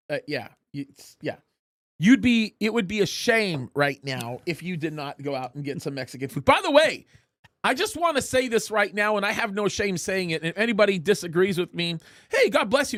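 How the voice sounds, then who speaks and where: High-voiced chatty male host. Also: a bit bright, no room sound, studio quality. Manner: chatty, joking, reads viewer comments aloud. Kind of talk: live show chat. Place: studio.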